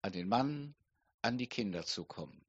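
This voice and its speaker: A middle-aged man preaching a sermon slowly and deliberately, with emphatic pauses. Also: on the low side, expressive, husky, dry recording, muffled recording. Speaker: pastor.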